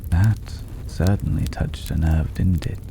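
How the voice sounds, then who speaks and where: Man playing a very deep, teasing mysterious drifter. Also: steady tone, mellow. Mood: probing, teasing. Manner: unhurried, wry, condescending, with drawn-out pauses. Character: regal, teasing male drifter, secretly a dragon. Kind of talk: intimate ASMR roleplay acting. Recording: clearly audible background noise.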